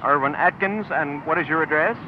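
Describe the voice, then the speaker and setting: An American man with a fairly high voice conducts formal radio street interviews in a 1940s announcing style. The old broadcast sounds dull, with light noise from the street around him. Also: fairly quick. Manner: formal, clearly announced, repeats answers. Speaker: radio reporter interviewing passersby. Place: outdoors on a busy city street. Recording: dull, noisy vintage outdoor radio broadcast.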